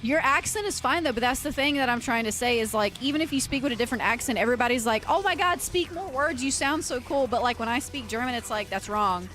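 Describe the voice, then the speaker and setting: A woman's voice: on the high side, expressive, fast, few pauses, a bit bright. Rambling woman streamer, faint music. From United States. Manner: rambling, restarts often, earnest and self-conscious. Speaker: livestreamer and metal vocalist. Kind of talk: casual chat with livestream viewers.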